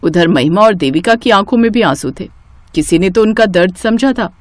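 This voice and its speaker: A woman narrating a scripted story in an expressive storytelling manner. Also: mid-range voice, normal speed, no room sound, clean studio sound.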